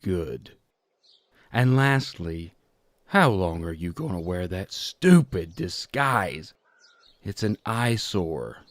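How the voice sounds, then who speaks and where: Man as villainous accomplice, deep, dry-sounding close recording, sardonic, drawling, audio drama. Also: sing-song, takes it slowly, no echo, full character voice. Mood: irritated. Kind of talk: fantasy audio drama acting.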